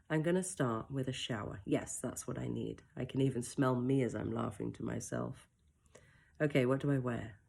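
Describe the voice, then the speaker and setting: A woman reading her own first-person story aloud, in a soft, emotional and reflective way with brief self-mocking asides. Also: very deep voice, gravelly.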